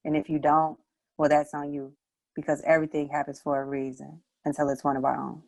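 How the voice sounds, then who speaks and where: A woman's voice: low voice, monotone, a little fast, gravelly, darkish tone, no room sound. A woman reciting her own poetry as a spoken word piece. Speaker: poet, showcase participant.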